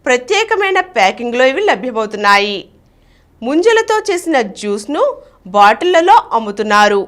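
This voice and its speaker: A woman's voice: sits high, a bit bright. A woman reading a scripted, informational health narration.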